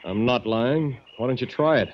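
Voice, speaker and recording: An actor playing a nervous gas station attendant who pleads and persuades, in an old radio drama. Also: male voice, medium pitch, normal speed, lightly acted. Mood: pleading. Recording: dull old radio recording.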